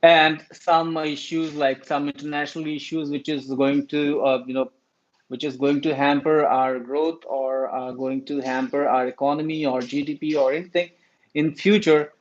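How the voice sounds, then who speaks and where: A man's voice: on the high side, little pitch movement, normal speed. A man from India with an Indian accent, talking casually about current affairs to his viewers, hesitant with many fillers and restarts. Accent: Indian English. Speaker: YouTube commentator. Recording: dull lo-fi recording.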